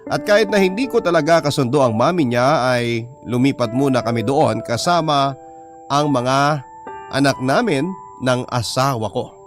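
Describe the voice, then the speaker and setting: A man's voice: mid-range voice, normal speed, loud music behind the voice. A man narrating a radio drama, reading out a personal letter as a story.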